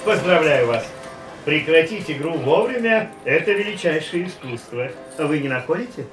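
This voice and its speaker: An actor playing a talkative older casino gentleman in a radio play, telling a story in a lively way. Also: a little high, normal speed, close to an everyday voice. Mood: anecdotal. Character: chatty older male casino gentleman, reminiscing. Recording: roomy, distant radio play recording with faint music.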